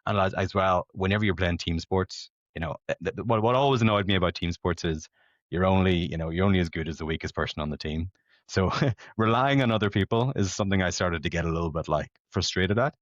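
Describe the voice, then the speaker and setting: Low-voiced Northern Irish man, chatty. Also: a little fast, no room sound. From Belfast, Northern Ireland. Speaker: podcast guest, amateur competitive runner. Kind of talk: casual conversational storytelling. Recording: dull lo-fi podcast audio.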